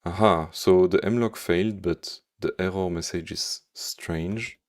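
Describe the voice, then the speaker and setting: A man's voice: low voice, unhurried. A man from Belgium with a Belgian French accent, coding on a live stream and answering viewers' chat, thinking aloud with lots of fillers and humming to himself. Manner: thinks aloud with many fillers, hums to himself while working.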